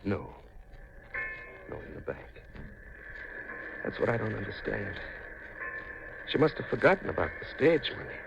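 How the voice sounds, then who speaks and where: Haunted seafaring man, low-voiced, brooding and folksy; 1950s radio drama, noisy recording. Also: sing-song, moderate pace, vocal fry, long pauses, dull audio, exaggerated voice. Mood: brooding, insistent.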